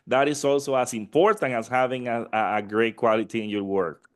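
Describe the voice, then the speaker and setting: Halting male interviewer. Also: mid-range voice, fairly even intonation. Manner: halting, rambling questions with many restarts. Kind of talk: interview questions.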